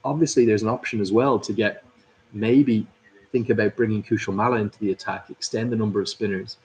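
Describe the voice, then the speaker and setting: A man's voice: fairly low pitch, steady tone, a little fast, a little mellow, slight background noise, poor audio. A man hosting a live cricket watchalong, commentating and chatting through viewer comments. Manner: chatty, upbeat, reads out viewers' names and greetings. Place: at a cricket club.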